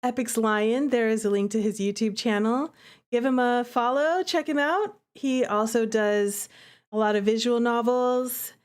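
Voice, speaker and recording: A woman with a fairly high voice chats casually as she streams. She is expressive and giggly, talking through her own game like a developer sharing notes. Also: a little slow, clear, resonant tone.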